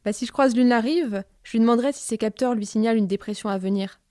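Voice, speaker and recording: A woman's voice: a little high, fast, warm tone, no room sound, clean studio sound, natural voice. A woman playing an affectionate, chatty aging robot friend with rusting parts in an audio drama. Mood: fond, chatty. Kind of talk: French audio fiction drama.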